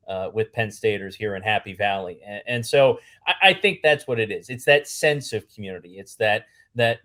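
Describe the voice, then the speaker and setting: A man's voice: lowish voice, a bit bright. A man from Pennsylvania answering conversationally as an interview guest, earnest and fond of lists and repeated phrases. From Pennsylvania, United States. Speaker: public address announcer and podcast guest.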